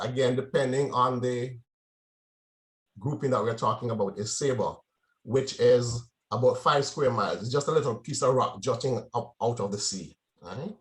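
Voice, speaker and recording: A man's voice: medium pitch, moderate pace. A man from Jamaica giving a conversational presentation to a club, often checking in with the audience. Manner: conversational, frequent "right?" check-ins. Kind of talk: informal presentation.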